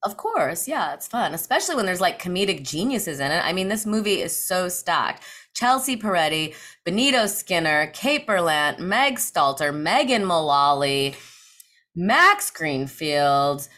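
A woman's voice: fairly low pitch, very animated, unhurried, pushed and bright. Wry, dry-humored woman. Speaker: podcast co-host. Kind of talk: casual comedic banter. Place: hotel room.

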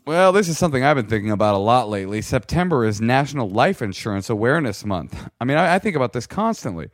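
A man's voice: mid-range voice, very animated, fast talker, dry recording, studio quality, faint music behind. An American man co-hosting a podcast in casual comic chat, opinionated and joking, leaning on his words for emphasis. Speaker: podcast co-host.

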